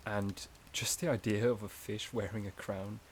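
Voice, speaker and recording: A man playing a gentle, shy, caring forest companion in a soft-spoken ASMR roleplay, with a hesitant, slightly stammering delivery. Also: lowish voice, steady tone, normal speed, a little hiss or hum, close to an everyday voice. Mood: quietly enchanted.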